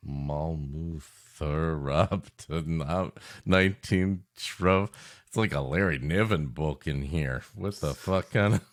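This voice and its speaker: A man's voice: rumbling low, slow pace. A man co-hosting a comedic livestream podcast in casual chat, with a wry, profane, mock-grandiose way of talking. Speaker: podcast co-host.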